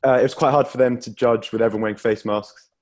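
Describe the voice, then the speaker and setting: A man's voice: medium pitch, steady tone, fast talker, heavy background noise. A young man from Wales performing a self-deprecating stand-up set over a video call, often laughing through his own jokes. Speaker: stand-up comedian.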